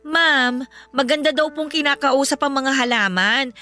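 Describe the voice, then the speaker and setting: An actress playing a meek, naive young housemaid in a Filipino radio drama, with a polite, plaintive manner and a Filipino accent. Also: female voice, sits high, unhurried, pushed and bright. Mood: polite, pleading. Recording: background music under voice.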